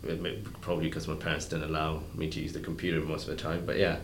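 Deep-voiced man, relaxed and thoughtful. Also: fast, reverberant, slight background noise. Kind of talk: casual chat.

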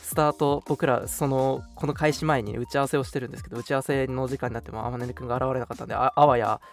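A man's voice: mid-range voice, fairly even intonation, quick pace, vocal fry, faint music behind. A young man hosting a live radio chat, moving between polite, apologetic announcements and casual banter. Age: young adult. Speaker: host of an online radio show.

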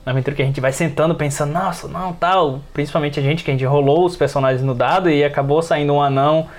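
A man's voice: a little high, steady tone, quick pace. A man with a Brazilian accent chatting casually as a podcast panelist, acting out reactions and often ending on tag questions. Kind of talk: casual chat.

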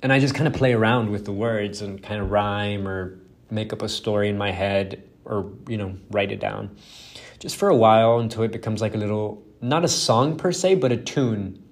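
A man's voice: lowish voice, normal speed. A young man whose first language is Spanish, hosting a casual solo podcast, rambling and self-deprecating with lots of fillers and swearing. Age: young adult. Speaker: podcast host.

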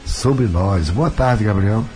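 A man's voice: lowish voice, expressive, takes it slowly, darkish tone, faint music behind. A man with a Carioca accent hosting a radio talk show as a pastor, talkative and full of tag questions. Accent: Carioca Brazilian Portuguese. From Rio de Janeiro, Brazil.

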